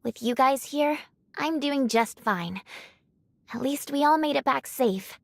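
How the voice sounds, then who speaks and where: A woman voicing a gentle, reassuring young woman with a polite, earnest manner in an English anime game. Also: sits high, unhurried, vocal fry, no echo. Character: gentle, reassuring young woman returned home after suffering.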